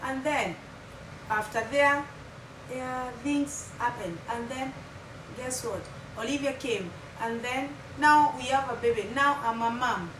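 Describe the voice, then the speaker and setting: A chatty Kenyan woman in her thirties tells her life story to her viewers, her voice sitting slightly high. She is recorded from a distance in a roomy, echoing space. A steady, loud noise runs underneath her talk. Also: measured pace. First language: Kimeru. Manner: chatty, talks straight to viewers, drops Swahili phrases. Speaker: vlogger. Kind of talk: casual storytelling vlog. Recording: echoey room from far off, loud background hum.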